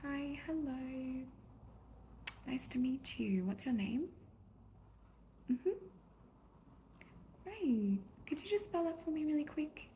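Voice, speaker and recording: A woman doing a soft-spoken ASMR therapist roleplay, gentle and reassuring, often slipping into a whisper. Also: high voice, lively intonation, takes it slowly, pauses often. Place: small indoor room at a desk. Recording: soft background noise, keyboard clicks. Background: computer keyboard typing.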